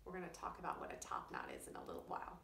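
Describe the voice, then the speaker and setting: Middle-aged female preschool teacher, animated storybook delivery with simple asides, recorded in an echoey room at a distance. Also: extremely low pitch, very fast, vocal fry, slight background noise. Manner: expressive, explaining simply for young children. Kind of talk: children's read-aloud with explanatory asides. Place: small wood-panelled room indoors. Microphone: camera a few feet away. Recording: roomy, distant camera microphone.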